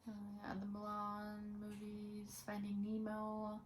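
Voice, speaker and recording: A woman's voice: mid-range voice, monotone, takes it slowly, a little hiss or hum. A woman in her early twenties chatting casually as a vlog co-host, reading out questions and giving her own answers. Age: early 20s. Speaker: co-host of a Disney fan vlog.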